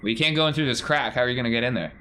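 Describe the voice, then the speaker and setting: A man's voice: medium pitch, racing, pushed and bright. A man acting as game master, narrating and refereeing a tabletop role-playing game with a theatrical touch. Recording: faint background noise.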